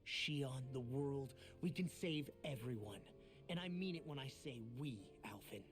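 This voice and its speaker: A man's voice: medium pitch, expressive, a little slow, natural voice. An actor playing an earnest, self-doubting young male fighter in a video game, speaking in a casual, sincere way. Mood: hopeful. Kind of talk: video game English voice acting. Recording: music behind the voice. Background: game music.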